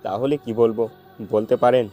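A man's voice: medium pitch, flat delivery, normal speed, phone-quality sound, faint music behind, close to an everyday voice. A man voicing a heartbroken, jilted young lover in an amateur audio love-story drama. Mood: bitter, mocking.

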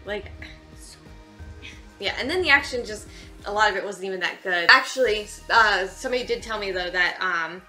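A woman's voice: mid-range voice, normal speed, bright, forceful tone, soft background music. A woman in her thirties hosting a casual movie reaction chat, informal, giggly and exclamatory, in a living room. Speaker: host of a movie reaction show.

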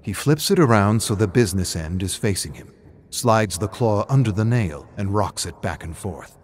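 A man's voice: fairly low pitch, expressive, moderate pace. A man reading scripted, dramatic story narration as the narrator.

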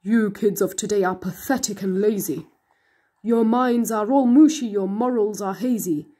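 A woman voicing a sly, mocking male math monster with a snarling, drawn-out delivery, a little away from the mic. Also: mid-range voice, dark tone, natural voice. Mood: sneering.

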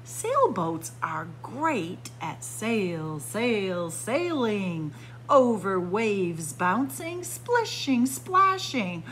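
A woman storyteller reading a picture book aloud to young children in an expressive sing-song way, drawing out and stressing words. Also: medium pitch, extremely slow, a little hiss or hum. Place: library room with bookshelves, indoors.

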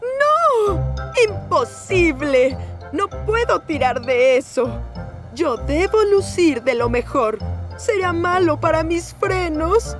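A woman's voice: very high-pitched, sing-song, takes it slowly, over music, full character voice. A woman voicing a vain, fussy female diesel railcar in a cartoon dub, haughty and easily dismayed. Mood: appalled. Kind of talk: Latin American Spanish cartoon dub.

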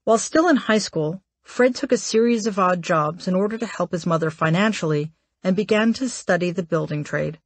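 A woman reading a memoir aloud as an audiobook narrator, in a measured, articulate way. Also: on the low side, no room sound.

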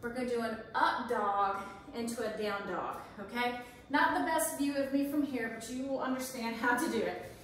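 A woman's voice: fairly high pitch, normal speed, a bit bright. A woman in her forties leads a kitchen workout as a fitness instructor, counting reps and encouraging the viewer as she exercises. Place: large home kitchen, indoors. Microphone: camera across the room. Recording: echoey kitchen, voice from far off.

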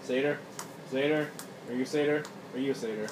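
A man's voice: medium pitch, steady tone, a little fast. A man hosting a casual card game video, chatting with viewers in a laid-back, chuckling way as he plays at a table. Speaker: card game player and channel host. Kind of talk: casual play commentary. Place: indoor room at a game table. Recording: roomy, distant, noisy phone capture.

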